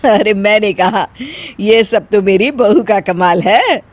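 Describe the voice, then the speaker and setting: A woman's voice: medium pitch, expressive, dry recording. A woman playing a bossy, easily offended middle-aged mother-in-law in a cartoon story, with a commanding, scolding manner. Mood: sociable, instructing. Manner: scolding, commanding, drawn-out calls. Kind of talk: exaggerated cartoon story acting.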